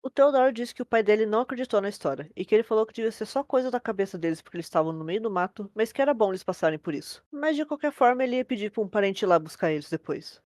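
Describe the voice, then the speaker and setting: Very fast Brazilian woman narrator, dry sound. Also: medium pitch, a little mellow, dry recording. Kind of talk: conversational horror story narration.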